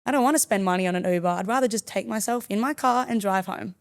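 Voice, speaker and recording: A woman's voice: mid-range voice, fast talker. A young woman born in the United States, chatting as a guest on a podcast in an upbeat, easygoing way and laughing often. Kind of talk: casual interview chat. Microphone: studio podcast mic.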